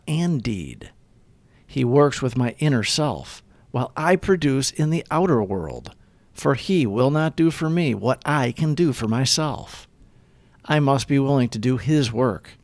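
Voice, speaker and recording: A man giving a scripted reading of devotional recovery reflections in a measured, earnest way. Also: mid-range voice, no echo.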